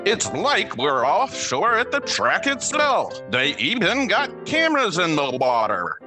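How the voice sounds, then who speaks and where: A man's voice: sits high, huge pitch swings, measured pace, heavily acted. A man playing an enthusiastic betting customer in a comedic radio ad, recorded with music underneath. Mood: enthused. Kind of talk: comedic radio advertisement testimonial.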